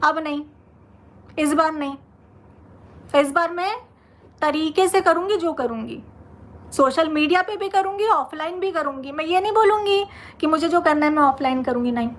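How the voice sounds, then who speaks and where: A woman's voice: high-pitched, moderate pace, long pauses. A woman vlogger speaking confrontationally to her social media audience, indignant and combative, hammering points through repetition.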